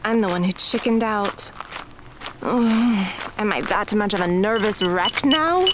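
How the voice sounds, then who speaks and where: A woman playing an anxious, self-doubting teenage girl in an audio drama, halting and nervous. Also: mid-range voice, noisy background, natural voice. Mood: self-reproachful.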